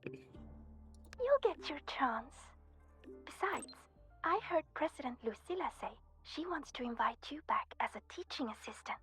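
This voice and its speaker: A woman's voice: high voice, lively intonation, unhurried, a bit bright, whispered. An actress voicing a friendly, eager young female student in a video game, chatty, playful and sincere. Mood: friendly, curious. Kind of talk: scripted video game voice acting. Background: background music.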